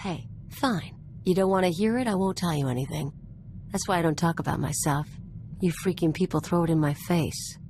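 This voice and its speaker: A woman's voice: on the low side, normal speed, darkish tone, slight background noise, natural voice. A woman playing a brash, guarded young female sidekick in a video game, speaking in a blunt, casual way. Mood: hurt, defensive. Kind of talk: scripted video game acting, conversational.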